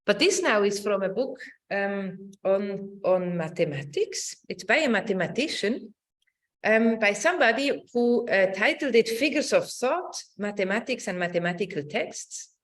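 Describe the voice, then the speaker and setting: Woman, middle-aged, academic lecturer, lively intonation, medium pitch, halting, word-searching, questioning tags, video-call talk. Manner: halting, searching for words, often adding a questioning "no?". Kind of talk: semi-improvised academic talk. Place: indoor room, online presentation.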